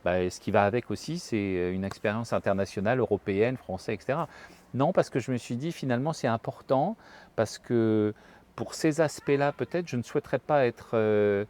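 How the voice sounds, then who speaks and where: A man's voice: medium pitch, brisk, a little mellow. A French man speaking as a guest in a casual interview, thoughtful and hesitant, often restarting his sentences. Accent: slight French accent in English. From France. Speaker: guest, HR professional.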